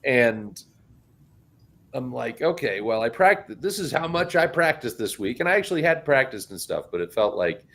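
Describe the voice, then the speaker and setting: A middle-aged man co-hosting a podcast in casual chat, talking in a big loud voice. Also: mid-range voice, moderate pace. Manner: animated, self-described loud talker with a big voice. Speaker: podcast co-host.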